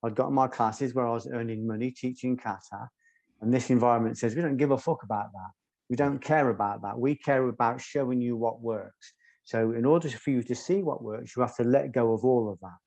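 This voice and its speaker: A soft-spoken man, a guest telling stories in an interview in a measured, articulate way. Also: medium pitch, creaky, dry recording. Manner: measured, articulate, occasional ums. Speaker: guest, former doorman. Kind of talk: reflective interview storytelling.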